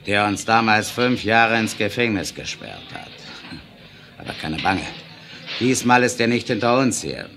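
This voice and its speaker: An actor playing a reformed Wild West bandit in a 1970s German audio drama, gossiping in a folksy, conspiratorial way. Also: male voice, fairly low pitch, expressive, unhurried. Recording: noisy old vinyl radio-play recording.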